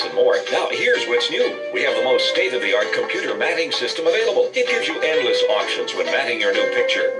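A man's voice: medium pitch, rough recording. A man reading a scripted commercial voice-over as an announcer. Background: loud music.

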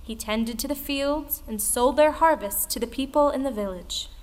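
A woman's voice: sits high, moderate pace, darkish tone, natural voice. An actress playing a female storyteller, narrating an old folktale in an audio play. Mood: storytelling. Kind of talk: scripted dramatic folktale narration. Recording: music behind the voice. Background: music.

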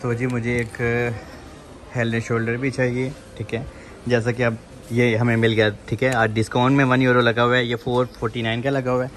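A man's voice: mid-range voice, flat delivery, normal speed. Chatty man vlogging in a noisy supermarket. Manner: chatty, addresses viewers as "janab", says "theek hai" often. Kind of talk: casual walk-through vlog. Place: large supermarket in a shopping mall, indoors. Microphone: handheld phone camera. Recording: noisy store background.

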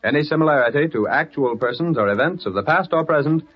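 A man's voice: a little high, lively intonation, quick pace. A man reading a formal scripted sign-off as an old-time radio network announcer. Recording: muffled old radio broadcast. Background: music.

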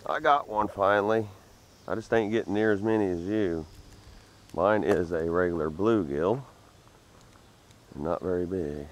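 A man's voice: low voice, a little slow, long pauses. A man hosting a fishing vlog, chatting plainly to viewers from the bank of a rural canal. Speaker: fishing vlogger. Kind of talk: casual fishing commentary. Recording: faint outdoor noise.

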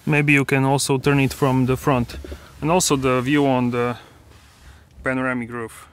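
A man in his thirties, speaking as an at a middle pitch, walks through a casual review in an easy, halting way, full of fillers and restarts. He holds a phone camera in a parked car, with faint ambient noise behind him. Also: level tone. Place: inside a parked car, then outdoors at a dealership lot. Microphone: handheld phone camera.

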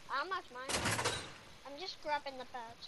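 A woman's voice: high-pitched, moderate pace. A female gamer chatting her way through an improvised video game roleplay, recorded on a home mic. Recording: very noisy home gaming mic.

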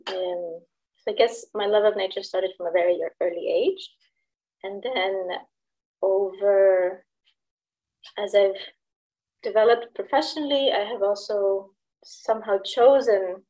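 A woman's voice: mid-range voice, warm tone, rough recording. A woman in her thirties, originally from El Salvador, talking easily as a podcast guest. She laughs often and lets her sentences trail into fillers. She is heard through a laptop mic on a video call from home. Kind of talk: casual interview.

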